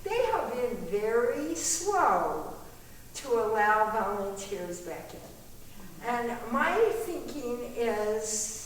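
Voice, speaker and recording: A woman's voice: fairly high pitch, big pitch swings, extremely slow. A woman speaking informally as a panelist, candid and reflective in her delivery. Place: echoey meetinghouse hall. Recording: echoey hall, distant mic, faint noise.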